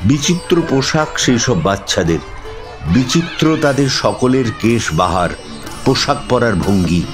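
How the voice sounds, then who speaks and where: A man narrating a scripted ghost story in a dramatic, suspenseful style with measured phrasing. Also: mid-range voice, animated. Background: instrumental music.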